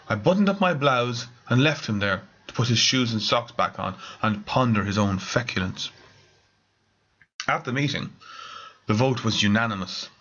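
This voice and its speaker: Bright-voiced man narrating a comic story. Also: medium pitch, breathy edge, highs cut off. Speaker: author reading own story.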